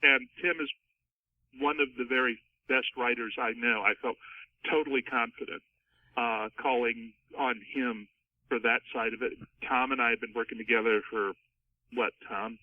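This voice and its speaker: A man speaking as an author in a casual panel discussion, in a halting way that circles back over his words. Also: medium pitch, fairly even intonation, no echo, recorded from a distance. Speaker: author being interviewed. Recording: thin phone-like band, dry.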